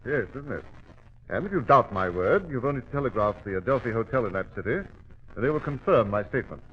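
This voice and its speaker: Suave, smug gentleman suspect male actor, formal and lively in intonation, muffled old radio drama sound. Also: medium pitch, a little fast, close to an everyday voice. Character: smug club gentleman, smooth, accusing, self-possessed man. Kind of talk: 1940s radio acting. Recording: muffled vintage radio recording.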